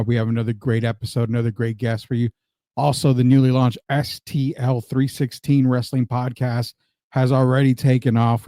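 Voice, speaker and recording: A man's voice: mid-range voice, warm tone, no room sound. Chatty American man hosting a podcast, dry sound. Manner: chatty, promotional patter. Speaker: podcast host. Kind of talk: upbeat show intro and interview.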